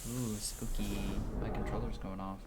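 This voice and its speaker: A man's voice: on the low side, flat delivery, normal speed, over loud music. A man giving casual commentary as he plays a video game. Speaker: gaming video host. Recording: clearly noisy background.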